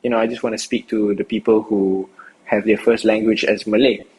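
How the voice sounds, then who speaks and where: A man's voice: on the low side, level tone, fairly quick, a little mellow, rough recording. A young man from Singapore answering interview questions outdoors in a casual, rambling way, full of fillers and restarts. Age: young adult. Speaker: interview guest, rapper.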